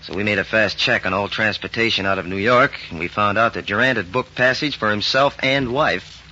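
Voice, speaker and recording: Bright, forceful FBI agent. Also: male voice, lowish voice, fairly quick. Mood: businesslike urgency. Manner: clipped, businesslike. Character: brisk, decisive male FBI agent. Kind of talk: 1940s radio crime drama acting. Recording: dull 1940s radio recording.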